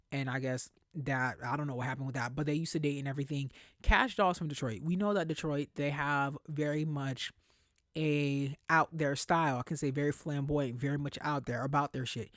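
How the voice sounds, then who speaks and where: Podcast host, medium pitch, conversational, emphatic, slangy, opinionated commentary, dry recording. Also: no echo. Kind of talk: casual opinionated commentary on celebrity news.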